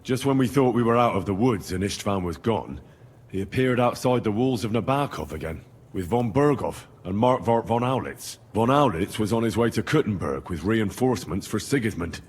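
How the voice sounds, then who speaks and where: Young medieval squire for a game drama: earnest, plain-spoken, slightly low and rough-edged, in a reverberant space. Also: male voice, animated, moderate pace, darkish tone. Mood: earnest recounting. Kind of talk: dramatic video game dialogue.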